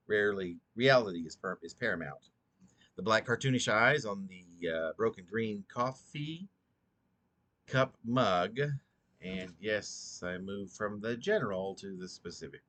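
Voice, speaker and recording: A drowsy man reading his own poetry slowly and deliberately, stumbling and repeating phrases as he goes. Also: fairly low pitch, a bit bright. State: drowsy, short on sleep. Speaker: poet reading own work. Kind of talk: slow, halting poetry reading.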